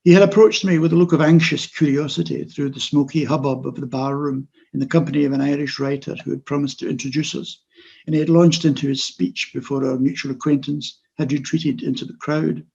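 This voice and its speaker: An older man reads his own memoir in a measured, wry way. His voice is gravelly and husky and sits fairly high. The audio is dull and lo-fi, from a video call. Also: steady tone, darkish tone. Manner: measured, wry literary reading. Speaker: author. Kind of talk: reading his own memoir prose aloud.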